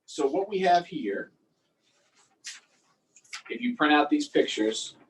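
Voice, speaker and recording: A man's voice: a little high, animated, slow, distant mic, slight background noise, rough recording. A man hosting a storytime, reading aloud and chatting simply and encouragingly with young children. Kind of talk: read-aloud and casual chat to young children.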